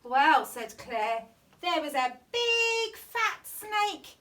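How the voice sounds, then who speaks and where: High, bright, animated woman reading a bedtime story. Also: far from the mic. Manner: theatrical, exaggerated emphasis with drawn-out words. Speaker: storytime reader at a primary school.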